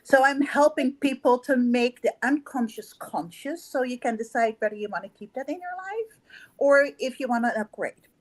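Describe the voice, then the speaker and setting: A middle-aged woman with a Dutch accent talks in a fairly high voice. She explains her coaching work earnestly, as a guest in a conversation. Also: expressive, normal speed. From the Netherlands. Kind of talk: casual chat.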